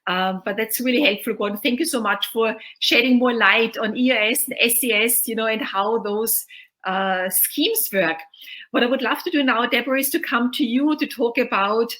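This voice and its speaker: A woman moderates a video-call panel. Her voice sits somewhat high, and she talks in a loose, chatty way, often saying "you know". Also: normal speed, a bit bright. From Austria. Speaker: host and moderator.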